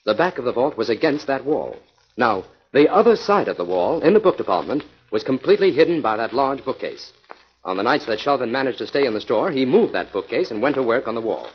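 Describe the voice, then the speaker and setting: An actor with an American accent playing a confident, analytical crime-solver in a 1940s radio drama, speaking in an assured, explanatory way. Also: male voice, medium pitch, big pitch swings, fairly quick, slight background noise, natural voice. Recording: dull 1940s radio recording.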